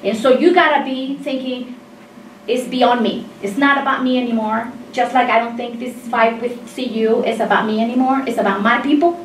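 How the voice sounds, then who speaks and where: A woman's voice: on the high side, fairly even intonation, moderate pace. A woman in her fifties from Mexico, an engineering faculty member, making an emphatic, insistent comment from the audience of a seminar, picked up by a distant room mic. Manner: emphatic, insistent, gestures while talking. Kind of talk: impassioned audience comment in discussion. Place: seminar room with tables, indoors. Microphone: far room camera mic. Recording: roomy and distant with faint noise.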